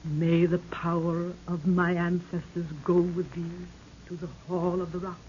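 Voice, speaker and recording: A woman's voice: fairly low pitch, completely flat delivery, a little slow, dark tone, natural voice. A woman acting a regal African queen in an old-time radio serial, with archaic, declamatory, solemn speech. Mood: grave, foreboding. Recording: muffled, noisy old radio recording.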